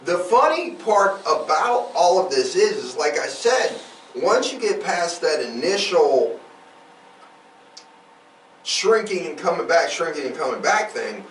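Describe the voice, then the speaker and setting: Animated man, fairly high voice, casual rambling co-host, roomy off-mic sound, faint noise. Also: a little slow, distant mic. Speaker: podcast co-host. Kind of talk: casual conversational true-crime chat.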